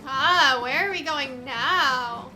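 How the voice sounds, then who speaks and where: High, very bright woman gushing over a game. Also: takes it slowly, faint music behind, poor audio. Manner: gushing, emphatic reactions to the characters. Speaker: let's player, game streamer. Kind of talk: casual gameplay commentary.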